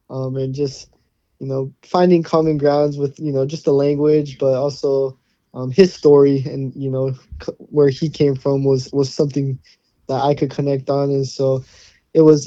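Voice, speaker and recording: A young man from Hawaii talks easily as a podcast guest, circling back with fillers and restarts. His voice is very dark and mellow and quite flat in pitch. The sound is dry and a little dull. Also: no room sound. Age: young adult. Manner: easygoing, many fillers and restarts. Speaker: guest, college football player. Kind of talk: casual interview answers.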